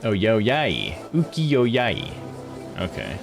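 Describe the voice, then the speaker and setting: A man's voice: lowish voice, huge pitch swings, extremely slow, gravelly, over music. A man giving casual let's-play commentary on a visual novel, musing aloud to himself.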